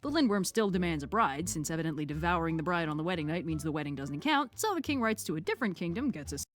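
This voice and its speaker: A woman's voice: on the low side, lively intonation, fast talker. A woman delivering scripted comic storytelling narration in a breezy, sardonic manner.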